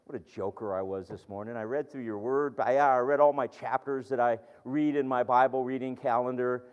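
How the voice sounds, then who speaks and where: A man's voice: mid-range voice, fairly even intonation, a little fast, clear, resonant tone, long reverb tail, lo-fi sound. A man preaching a sermon in an earnest, conversational way, often restarting his sentences.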